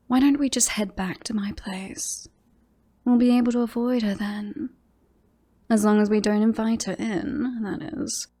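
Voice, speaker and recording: Woman acting a slow-talking, smug female werewolf. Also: a little high, steady tone, mellow. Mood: mocking, coaxing. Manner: teasing, mocking, drawn-out coaxing delivery. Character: smug, possessive female werewolf, lovestruck with a human. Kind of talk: ASMR roleplay.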